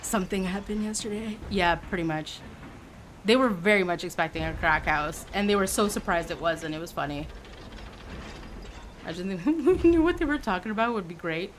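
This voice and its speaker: A woman in her forties livestreams and chats with her viewers in a casual, expressive way. She teases and jokes as she goes. Her pitch sits in an ordinary middle range for a woman. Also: moderate pace, a little hiss or hum. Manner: chatty and expressive, teasing and playful.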